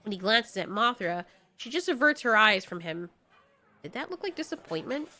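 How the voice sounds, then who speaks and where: A woman's voice: a little high, sing-song, normal speed, bright, forceful tone. A woman reading scripted narration for an audio drama in a dramatic storytelling manner.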